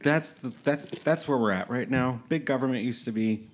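A man's voice: medium pitch, fairly quick. Easygoing male podcast co-host. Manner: relaxed, agreeable, frequent mm-hmms and ums. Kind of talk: casual chat.

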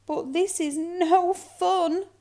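A woman's voice: very high-pitched, lively intonation, takes it slowly, darkish tone, voice pushed up, heavily acted. A woman voicing an earnest, childlike young male polar bear cub in a storybook. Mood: gloomy, whimpering.

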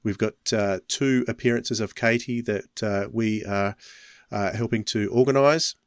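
A man's voice: on the low side, steady tone, measured pace, dry recording, studio quality. A man hosting a podcast in a casual, rambling, informal way. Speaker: podcast host. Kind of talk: casual chat.

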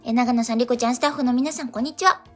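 A woman's voice: on the high side, rapid-fire, soft background music. A woman co-hosting a radio show, chatting and reading out listener letters.

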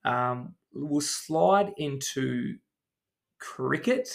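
A man's voice: on the high side, animated, extremely slow, creaky. A man co-hosting a casual podcast, explaining things at length and running through lists of names with frequent ums. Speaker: podcast co-host.

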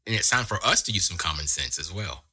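Earnest, husky podcast co-host man. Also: sits low, expressive, fast, pushed and bright. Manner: earnest, explains at length. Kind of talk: casual group chat.

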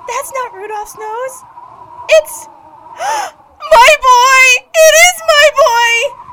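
Woman playing a squeaky, gushing crewmate. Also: expressive, measured pace, heavy background noise. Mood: excited. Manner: gasping, theatrical. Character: emotional woman crewmate, easily overwhelmed.

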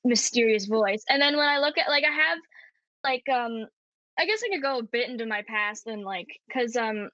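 A young woman with a high, squeaky voice, chatting as a podcast guest, giggly and rambling with many fillers. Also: lively intonation, moderate pace, pushed and bright, no echo, highs cut off. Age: young adult. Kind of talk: casual podcast chat.

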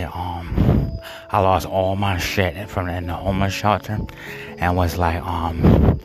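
A man telling a rambling personal story, full of fillers, restarts and bursts of laughter at himself. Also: low voice. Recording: music playing behind.

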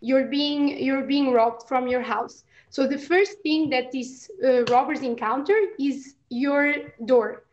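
A high-pitched young woman explains science in a casual chat, pausing often and restarting her sentences. Her voice comes through slightly dull and lo-fi. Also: steady tone. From Portugal. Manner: hesitant, many fillers and restarts. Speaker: PhD researcher guest.

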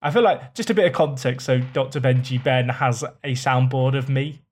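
A man, a content creator appearing as a podcast guest, talking in a self-mocking, fairly monotone way. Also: medium pitch, normal speed, slightly rough. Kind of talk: casual podcast chat.

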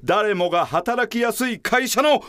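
A man's voice: sits high, a bit bright, smooth, dry recording, clean studio sound. A man playing a flamboyant, cocky business consultant in a comedic radio drama, with a theatrical, mock-polite, teasing manner. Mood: showy, teasing. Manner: theatrical, showy, mock-polite with drawn-out catchphrases.

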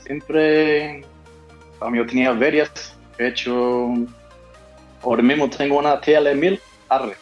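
An Australian man whose accent has picked up an Andalusian lilt, sits as a guest and answers at a medium pitch. He often trips and restarts his phrases while he searches for the words. Faint music plays underneath him. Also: fairly even intonation, a little slow, lo-fi sound. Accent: Australian mixed with Andalusian. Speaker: interview guest, motorcyclist. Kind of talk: casual interview answers.

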